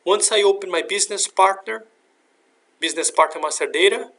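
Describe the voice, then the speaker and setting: A man narrating a software demonstration in a step-by-step, instructional way. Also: high-pitched, a little fast. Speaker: software demo presenter. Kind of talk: scripted software tutorial narration. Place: screen recording voice-over.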